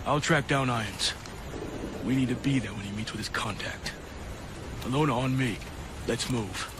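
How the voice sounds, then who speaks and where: A man playing a tough, hard-driving soldier in a video game, giving curt, forceful orders. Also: medium pitch, moderate pace, heavy background noise, lightly acted. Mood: focused. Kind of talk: video game cutscene acting. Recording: loud music over the voice.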